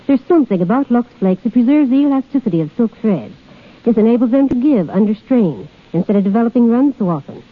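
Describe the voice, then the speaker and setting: A woman's voice: on the high side, animated, fast talker, narrow, tinny audio. A woman guest on a 1930s radio show, formally reading a scripted talk and product endorsement. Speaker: shop hostess, guest giving a product endorsement. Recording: band-limited 1930s radio recording.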